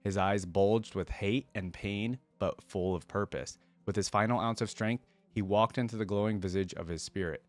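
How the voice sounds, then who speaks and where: A man's voice: on the low side, fairly even intonation, normal speed, few pauses. A man hosting a reaction video, reading a fantasy story aloud and breaking off into casual, slangy commentary. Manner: informal, slangy asides.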